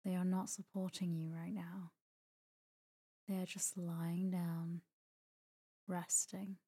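A woman leading a guided sleep meditation in a soft, breathy voice, calm and gentle, with long pauses between short phrases. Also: lowish voice. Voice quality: soft, breathy, near-whisper at times. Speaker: meditation guide, podcast host. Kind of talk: guided sleep meditation, slow scripted narration.

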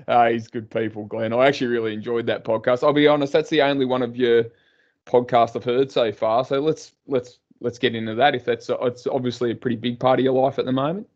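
A man's voice: medium pitch, fairly even intonation, highs cut off. An Australian man hosting a podcast interview, chatting casually and teasing his guest, with frequent restarts. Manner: joking, teasing, halting restarts. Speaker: podcast host.

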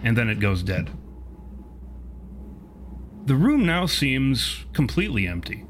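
A man's voice: medium pitch, big pitch swings, takes it slowly, a little hiss or hum. A man narrating a tabletop game as game master, improvising in wordy, formal phrases.

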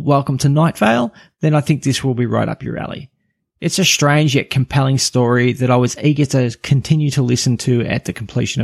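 A man hosting a podcast, giving a steady, scripted review read aloud. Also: mid-range voice, gravelly. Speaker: podcast host.

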